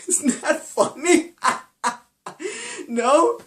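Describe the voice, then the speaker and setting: A man's voice: very animated, extremely slow, fairly bright tone, exaggerated voice. A young man playing a giddy, over-eager teacher. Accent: Danish. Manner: giggly, shrill, bursts into laughter. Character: over-enthusiastic young male teacher, giddy, joke-cracking. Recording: echoey room.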